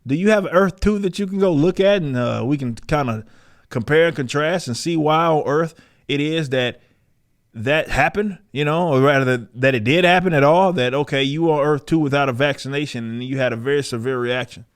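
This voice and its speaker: A man's voice: a little high, fairly quick. A man giving casual, opinionated podcast commentary in a combative, emphatic manner with plenty of swearing. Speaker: podcast host.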